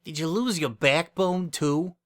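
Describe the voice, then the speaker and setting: Man putting on a haughty, higher-pitched female pony. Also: a little slow, close to an everyday voice. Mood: smug, airy. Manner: pompous, sniffy, indignant. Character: haughty, scornful young female pony confectioner. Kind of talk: dramatic story reading.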